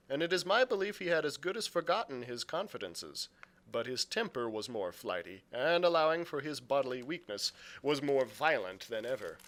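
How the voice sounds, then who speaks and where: A man's voice: fairly high pitch, fairly bright tone. A man reading a classic adventure story aloud as a youth librarian, in narrated storybook style.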